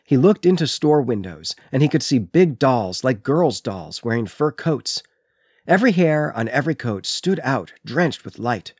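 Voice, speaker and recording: Male literary podcaster, average pitch, explanatory and articulate, very dry sound. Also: very animated, normal speed, husky, dry recording. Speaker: podcast co-host. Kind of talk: literary discussion and reading aloud from a book.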